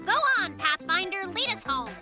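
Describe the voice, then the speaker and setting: Very high, bossy little bear cub. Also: expressive, moderate pace. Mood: skeptical, blustering. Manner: brash, confident, casual. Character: bossy, boastful young boy brown bear cub. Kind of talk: children's cartoon voice acting. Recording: music behind the voice.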